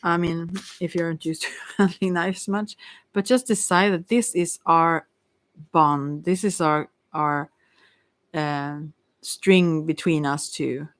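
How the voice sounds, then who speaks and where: A tarot card reader talking informally to listeners in a halting, rambling way, often stumbling over words. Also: mid-range voice, steady tone, fairly bright tone, dry recording.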